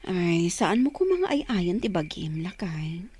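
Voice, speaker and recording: An actress playing an anxious, coaxing wife of a poor labourer in a radio drama. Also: female voice, on the low side, big pitch swings, slow pace, darkish tone, breathy edge, exaggerated voice. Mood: resigned. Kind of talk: radio drama acting in dialogue.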